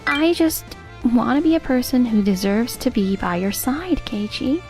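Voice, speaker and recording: A woman playing a sweet, loyal young fiancée in a manga dub, earnest and gentle but firm. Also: fairly high pitch, animated, normal speed, faint music behind, close to an everyday voice. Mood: tender, devoted. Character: sweet, loyal young fiancée who firmly defends her partner. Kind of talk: animated manga dub dialogue.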